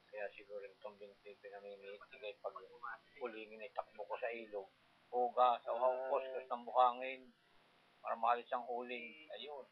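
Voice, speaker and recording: A man's voice: mid-range voice, little pitch movement, moderate pace, husky, muffled recording. An older man, reminiscing casually in a rambling, colloquial, demonstrative way. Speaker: farmer recalling his working life.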